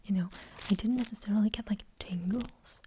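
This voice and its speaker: A young woman with a light German accent whispers her way through an ASMR Q&A, hesitating and rambling with plenty of fillers. Her whisper sounds mellow and dark, and faint noise sits underneath. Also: mid-range voice, brisk. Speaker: ASMR creator.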